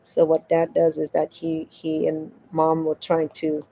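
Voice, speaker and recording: Woman telling a story haltingly. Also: deep voice, completely flat delivery, vocal fry, warm tone, a little hiss or hum, low-quality recording. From Cambodia. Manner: halting, repeats words as she searches for them. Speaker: crochet vlogger. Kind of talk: casual storytelling while crafting.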